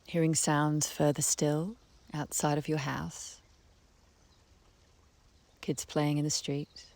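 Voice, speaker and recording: A woman leading a guided meditation in a soft, breathy voice, speaking gently with long unhurried pauses. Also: low voice, level tone. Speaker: guided relaxation teacher. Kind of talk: guided meditation, soothing slow instruction. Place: outdoors beside a harbour. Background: birdsong, trickling stream, breeze.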